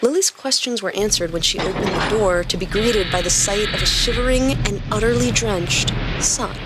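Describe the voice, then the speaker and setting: A woman hosting a podcast and narrating stories in a clear scripted reading style. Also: mid-range voice, moderate pace, heavy background noise. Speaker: podcast host and story reader.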